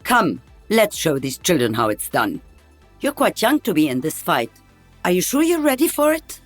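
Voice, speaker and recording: A woman's voice: on the low side, sing-song, moderate pace, no room sound, soft background music. A woman playing an older veteran sniper in a video game, wry and teasing.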